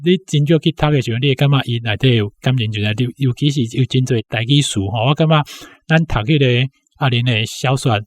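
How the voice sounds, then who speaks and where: A man's voice: medium pitch, a little fast, few pauses. A man in his sixties with a Taiwanese accent recalls personal memories informally, often punctuating his phrases with little tag particles. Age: 60s. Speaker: interview guest, university academic. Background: background music.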